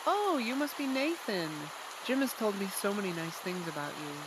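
A woman's voice: medium pitch, very animated, moderate pace, very noisy, close to an everyday voice. A woman playing a polite, hospitable hostess in an audio drama. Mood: welcoming.

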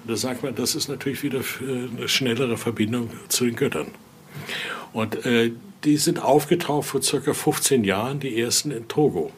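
A man giving an informal, halting explanation as a guide, often ending phrases with little questioning tags. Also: mid-range voice, a little hiss or hum. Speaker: interviewee, exhibit guide and Voodoo expert. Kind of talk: informal spoken explanation during a guided tour.

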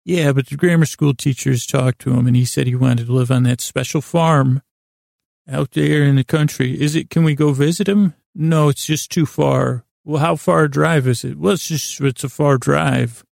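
Male podcast host, creaky mid-pitched voice, dry close sound; meandering, self-deprecating bedtime rambler who keeps interrupting himself. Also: steady tone, a little mellow, dry recording. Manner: halting, meandering, self-interrupting with false starts.

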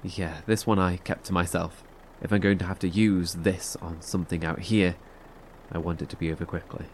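An actor playing a guarded, practical survivor, terse and low-key, in a naturalistic horror audio drama. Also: male voice, level tone, normal speed, a little hiss or hum.

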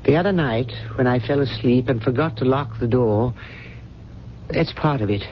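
An actor playing a devoted, dying older butler in a radio drama, speaking in a grave, courteous and earnest way. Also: male voice, mid-range voice, normal speed, close to an everyday voice. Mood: resigned. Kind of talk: 1970s radio drama acting. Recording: dull, noisy 1970s radio recording.

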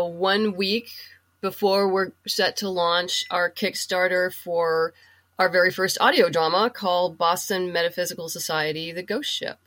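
Female interview guest, slightly low voice, casual, thinking aloud in halting phrases. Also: fairly bright tone. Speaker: podcast guest, comic writer and audio drama producer.

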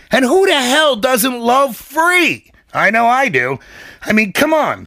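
A man's voice: high-pitched, bright, forceful tone, dry recording, clean studio sound. A man host reading a sponsor ad in a jokey, upbeat way. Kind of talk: read sponsor spot.

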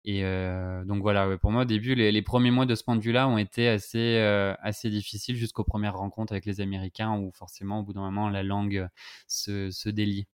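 A man's voice: on the low side, flat delivery, fairly quick, clean tone. A young man from France chatting casually about his student exchange, hesitating often with fillers and restarts. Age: young adult. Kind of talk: casual podcast conversation.

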